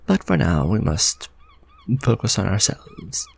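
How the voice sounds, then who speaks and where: Man playing a gravelly, husky vampire lord. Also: on the low side, wildly swooping pitch, measured pace, heavily acted. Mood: tender. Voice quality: gravelly, husky, soft-spoken. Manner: formal, musing, tenderly addressing a listener. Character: refined, possessive male vampire lord. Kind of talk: ASMR romantic roleplay.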